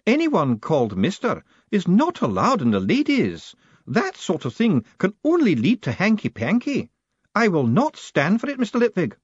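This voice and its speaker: A man voicing a formidable, prim older woman clerk who makes stern demands in a high, strained voice. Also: huge pitch swings, normal speed, husky, no room sound, highs cut off, full character voice.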